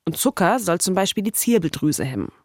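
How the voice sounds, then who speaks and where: A woman's voice: fairly low pitch, very animated, a little fast, darkish tone, no echo, studio quality. A woman in her thirties narrating a scripted documentary podcast as its host. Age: 30s.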